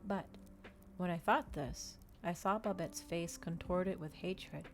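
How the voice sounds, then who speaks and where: Low-pitched woman reading a story, ASMR style. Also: slow pace. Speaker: streamer reading aloud. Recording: clear background ambient noise.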